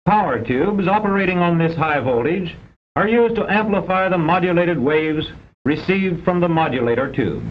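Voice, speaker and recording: High-pitched man narrating a vintage educational film. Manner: formal, precise, didactic delivery. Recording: dull vintage film soundtrack with rumbling noise.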